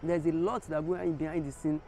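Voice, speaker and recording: A man's voice: on the high side, little pitch movement, a little fast, warm tone. A man in his thirties with a Nigerian accent, talking earnestly and apologetically to viewers in a casual vlog from an empty stadium stand, filmed at arm's length. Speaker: vlogger. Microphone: phone or camera held at arm's length. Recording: faint outdoor city noise.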